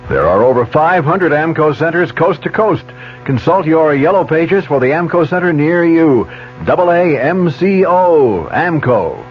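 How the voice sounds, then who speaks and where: A man's voice: fairly high pitch, expressive, a little slow. A man reading a scripted announcement for a 1970s radio advertisement. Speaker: commercial announcer. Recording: muffled 1970s radio, music behind.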